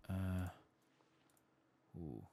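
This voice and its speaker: A soft-spoken man giving casual ASMR game commentary, muttering as he thinks aloud, close to the microphone. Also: low voice, animated, extremely slow, vocal fry, a little hiss or hum. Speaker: host of a gameplay channel.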